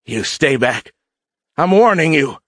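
Give the cadet , acted out by a male narrator, a voice pitched well up from a man's usual. He is a young furry alien bully in a storybook, full of gloating bluster that frays easily. The sound is close and dry. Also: animated, normal speed, a bit bright, no room sound. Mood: panicked, threatening. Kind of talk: audiobook character acting.

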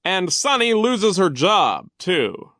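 A woman's voice: fairly low pitch, big pitch swings, takes it slowly, fairly bright tone, no room sound, clean studio sound, pitched above the performer's own voice, exaggerated voice. A woman playing a pompous, spiteful male vice principal in a storybook, mocking and overbearing in a theatrical way. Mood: bossy, triumphant.

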